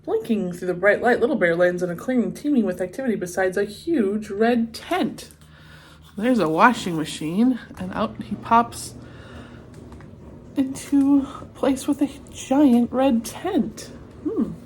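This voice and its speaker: A woman's voice: on the high side, animated, a little slow, slight background noise. A woman reading a children's picture book aloud expressively, with chatty asides and exclamations of wonder. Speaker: read-aloud storyteller.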